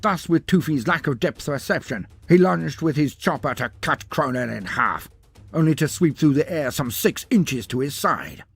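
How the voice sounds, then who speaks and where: A husky-voiced man tells a war fantasy story with theatrical relish and a wry edge. His pitch moves freely through florid, dramatic sentences. Faint music runs underneath. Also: expressive, normal speed, fairly bright tone. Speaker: storyteller narrator. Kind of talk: dramatic scripted fantasy narration.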